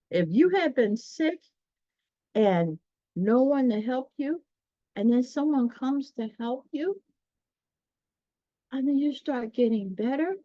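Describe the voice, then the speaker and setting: A woman's voice: a little high, no echo, dull audio. A woman reading a children's story aloud, explaining it in chatty asides and speaking directly to listeners.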